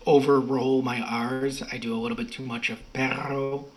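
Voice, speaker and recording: A man's voice: medium pitch, little pitch movement, normal speed, poor audio. An American man, middle-aged, telling stories in a rambling, self-correcting way as an interview guest. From United States. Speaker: podcast guest. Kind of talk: casual interview talk.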